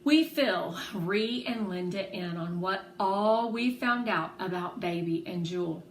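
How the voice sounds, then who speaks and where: A woman reading a children's novel aloud in expressive storytelling narration. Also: lowish voice, a little slow. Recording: roomy echo, faint background noise.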